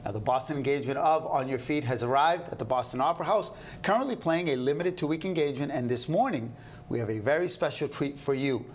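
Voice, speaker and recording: A man's voice: medium pitch, fast talker, slight background noise. A man hosting a TV interview, opening with a voice-over introduction and then chatting in a playful, upbeat way. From Cuban American. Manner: upbeat, playful, laughing along. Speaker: host.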